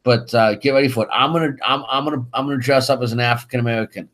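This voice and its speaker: A man's voice: mid-range voice, steady tone, very fast, a bit bright. A man co-hosting a casual political talk show, arguing emphatically with many restarts. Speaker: co-host.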